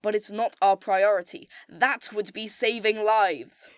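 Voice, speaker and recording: A woman's voice: mid-range voice, fairly even intonation, unhurried, clear, resonant tone, no room sound, studio quality, lightly acted. A woman playing a commanding, wry military squad leader in an audio drama, speaking with firm authority. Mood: brisk, wry. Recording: noisy battle sound effects behind.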